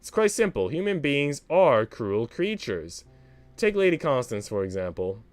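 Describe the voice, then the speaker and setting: Visual novel role: worldly female parlour maid, sardonic and composed, with expressive intonation, read by a man. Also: medium pitch, a little slow. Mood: dryly amused. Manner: dry, unruffled, sardonic. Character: wry, worldly older parlour maid, an outsider woman.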